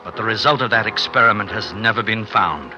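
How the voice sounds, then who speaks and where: An actor playing a worried, stern friend of a scientist, grave and admonishing, in 1950s radio drama style. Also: male voice, on the low side, lively intonation, brisk, highs cut off, music in the background, close to an everyday voice. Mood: somber narration. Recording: noisy 1950s radio recording.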